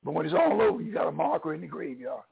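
An older man, a pastor with a Southern accent, preaching an encouraging sermon in a hoarse voice, swinging between loud and soft. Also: on the high side, animated, fast, narrow, tinny audio.

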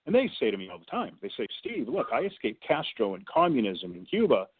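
A man's voice: mid-range voice, wildly swooping pitch, brisk, slightly rough. A man calling in to a talk show as a guest and making his case emphatically, with sports comparisons. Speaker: guest advocacy director. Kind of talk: phone-in radio interview. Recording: tinny phone line.

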